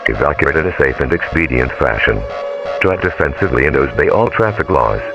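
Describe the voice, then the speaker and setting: A man reading an emergency evacuation bulletin in a measured, official tone. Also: a bass voice, lively intonation. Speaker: emergency alert announcer. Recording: dull lo-fi audio with music.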